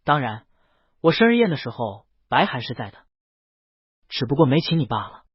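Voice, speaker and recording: A man voicing a cool, composed, wealthy young husband in an audio story, speaking calmly and with understatement. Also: medium pitch, moderate pace, husky, dry recording, muffled recording, voice pushed down. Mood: cool, cutting.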